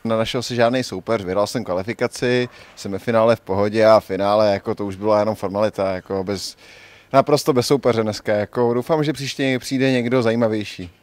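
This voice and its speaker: A man's voice: lowish voice, steady tone, a little mellow, dry recording. A young man who won the tournament, boasting in a post-match interview in a bowling alley, speaking into a handheld mic. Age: young adult. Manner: cocky, boastful, lots of fillers. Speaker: tournament winner. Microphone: handheld mic held by interviewer.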